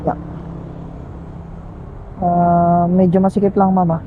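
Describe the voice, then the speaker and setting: A woman's voice: on the low side, little pitch movement, slow pace, phone-quality sound. A woman with a Filipino accent chatting casually and jokingly with a passenger as a motorcycle taxi rider and vlogger. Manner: joking, teasing. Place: on a motorcycle on the street. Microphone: helmet intercom headset. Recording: clear traffic and engine noise.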